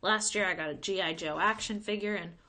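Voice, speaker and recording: A woman voicing a brash, boastful schoolboy in a storybook, with blunt, excitable delivery. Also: medium pitch, a little fast, lightly acted. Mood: excited boasting.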